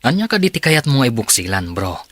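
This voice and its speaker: A man's voice: medium pitch, big pitch swings, fairly quick, no room sound. A man with a Filipino accent playing a sceptical, grudging colleague in a radio drama. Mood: sceptical, resigned. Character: sceptical, grudging male friend and colleague at company. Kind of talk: Ilocano radio drama acting.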